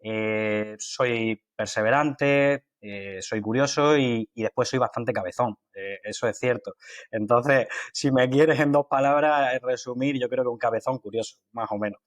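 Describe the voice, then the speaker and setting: A man from Málaga with an Andalusian accent talks as an interview guest, starting over often and filling gaps with hesitations. His voice sits at an ordinary middle pitch. The recording is dry and close, with little room sound. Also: dry recording. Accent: Andalusian Spanish from Málaga. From Málaga, Spain. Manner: halting, with many fillers and restarts. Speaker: guest, water industry engineer. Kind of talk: conversational interview.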